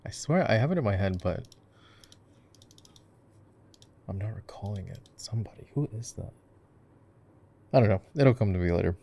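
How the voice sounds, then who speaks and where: Guy acting as chill gamer boyfriend, deepish voice w lots of fry, rambly casual asmr roleplay, sweet to his partner. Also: lively intonation, fast, mellow. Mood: musing, playful. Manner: rambling, musing aloud. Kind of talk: casual ASMR roleplay chat while gaming.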